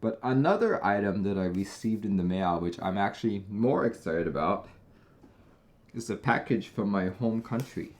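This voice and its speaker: A man from Taiwan hosting a casual live stream, talking in a chatty, relaxed way with frequent small restarts. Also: on the low side, moderate pace, slight background noise. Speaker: host.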